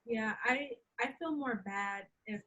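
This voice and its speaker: A woman's voice: on the high side, unhurried, pushed and bright, highs cut off. A young woman who stutters, a guest on an interview show, talking about her experiences in an honest, reflective way. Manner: stutters, with repeated words and fillers. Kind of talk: conversational interview.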